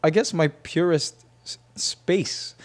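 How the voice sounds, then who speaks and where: A man's voice: a little high, slow pace. A man from Canada, a guest on a podcast, talking things through thoughtfully with many restarts. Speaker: guest, YouTube comedian. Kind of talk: casual podcast interview.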